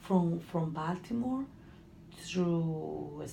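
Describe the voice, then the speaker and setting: A middle-aged Honduran woman talks in slow, broken English, restarting her phrases and groping for words. Her voice sits low with heavy gravel and a soft, mellow tone. The room has a noticeable echo and faint background noise. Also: expressive. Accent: Honduran Spanish. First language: Spanish. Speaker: interviewee. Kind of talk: casual conversation.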